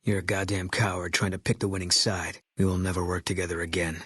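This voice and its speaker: A man voicing a grim, embittered military sniper in a video game, terse and guarded, with a husky voice. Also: deep voice, fast, dry recording. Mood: curt, hostile. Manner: terse, guarded, flat, clipped delivery. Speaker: video game companion character. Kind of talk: game voice acting, terse dialogue lines.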